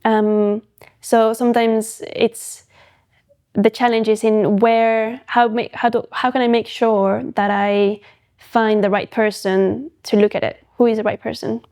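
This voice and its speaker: A woman's voice: fairly high pitch, little pitch movement, unhurried, a little mellow. A young woman from Mexico, a photographic artist and podcast guest, talking thoughtfully in a casual interview and often restarting her sentences. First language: Spanish.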